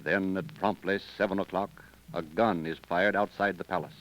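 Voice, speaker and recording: A man's voice: low voice, steady tone, moderate pace, background noise. A man hosting and announcing in a formal, declamatory 1930s radio style. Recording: dull vintage radio recording.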